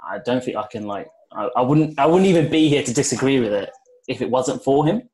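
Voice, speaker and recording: A man's voice: mid-range voice, lively intonation, fast, darkish tone, low-quality recording. A man in casual discussion, working out his opinion aloud with hesitations and repeated phrases.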